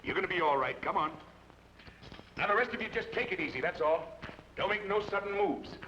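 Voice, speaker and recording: A man's voice: high-pitched, lively intonation, a little fast, pushed and bright. A wry drawling western gunman. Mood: commanding. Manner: dry, folksy drawl. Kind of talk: 1940s radio acting. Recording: muffled old radio broadcast with hiss.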